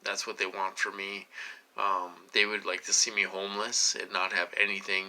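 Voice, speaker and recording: A man in his late forties giving a rambling personal monologue, halting and repeating himself as he dwells on his questions. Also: low-pitched, level tone, pushed and bright, low-quality recording. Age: late 40s. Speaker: vlogger.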